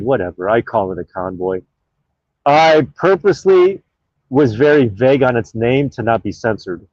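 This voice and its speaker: A man's voice: medium pitch, darkish tone, no room sound, dull audio. A man hosting his own live stream in casual chat, reading out viewer comments in a rambling, offhand way with plenty of fillers.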